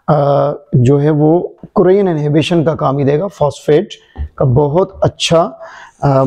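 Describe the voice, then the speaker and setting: Mellow-voiced man demonstrating a chemical formulation. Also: fairly high pitch. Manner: unhurried, explanatory, frequent hesitations. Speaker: chemistry channel presenter. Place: indoor workshop table.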